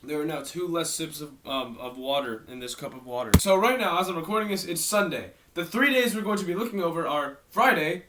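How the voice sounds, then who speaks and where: A man's voice: on the high side, lively intonation, a little fast, few pauses, a bit bright, recorded from a distance, slight background noise. A teenage boy from Maryland with a worn-out, tired voice, rambling through a casual storytime vlog with self-mocking asides. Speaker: YouTuber.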